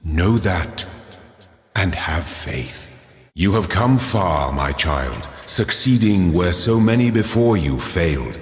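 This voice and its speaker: Solemn creator deity, game narration: low, unhurried, grandiose and paternal, drenched in long cathedral-style reverb. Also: male voice, expressive, full character voice. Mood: solemn, reassuring. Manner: grandiose, measured, formal, preacher-like. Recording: cavernous reverb with long echoing tail.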